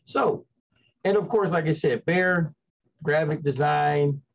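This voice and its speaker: A man's voice: a little high, slow, gravelly. Slightly dull-sounding male show host. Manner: repeats "you know" as filler. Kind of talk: casual livestream interview. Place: indoors on a video call.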